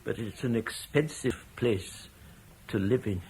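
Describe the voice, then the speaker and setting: A calm, resigned Roman. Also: male voice, fairly low pitch, slight background noise, rough recording. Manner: measured and reflective.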